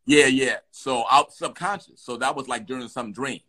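Bright-voiced man telling stories. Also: medium pitch, normal speed. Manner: animated, lively storyteller with restarts. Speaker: guest actor. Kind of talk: storytelling in an interview.

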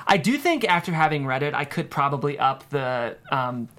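A man's voice: on the high side, big pitch swings, normal speed, fairly bright tone. Thoughtful male writer in conversation. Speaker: guest screenwriter. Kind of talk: casual interview chat.

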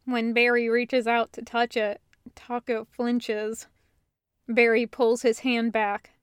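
A woman's voice: on the high side, flat delivery, takes it slowly, smooth, no room sound. A woman narrating a story as a reader of fan fiction audiobooks.

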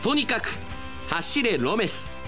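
A male radio announcer who narrates a drama and then chats with listeners. His pitch moves a lot. He is jokey and playful and sometimes rattles off lines in quick bursts. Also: sing-song, over music. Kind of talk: radio drama narration and casual host chat.

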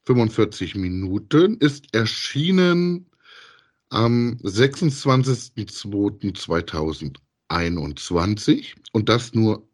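Low-pitched man in casual chat. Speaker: podcast host reviewing audio dramas.

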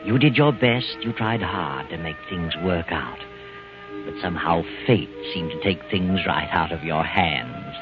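An actor playing a sinister, mocking, all-knowing narrator in a 1940s radio suspense play. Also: male voice, sits low, animated, unhurried, music in the background. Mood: taunting. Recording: muffled old radio recording.

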